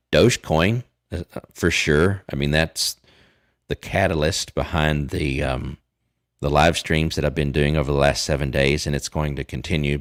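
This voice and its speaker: A man's voice: very deep voice, dry recording, studio quality. A man in his forties with a Southern Texas accent, chatting casually as a livestream host and rambling with lots of fillers and restarts. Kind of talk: casual chat.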